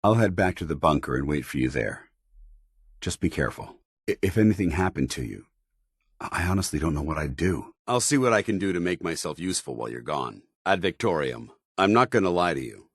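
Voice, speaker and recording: Video game military officer: American, deep and husky, stern, loyal, clipped and formal. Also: male voice, expressive, a little fast, no echo, clean studio sound, heavily acted. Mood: concerned, then stern, disappointed. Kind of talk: video game companion dialogue.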